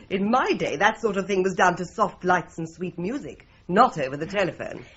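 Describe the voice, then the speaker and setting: An actress playing a wry, teasing older woman with a husky voice in a radio drama. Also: lowish voice, very animated, moderate pace, a bit bright, highs cut off, full character voice.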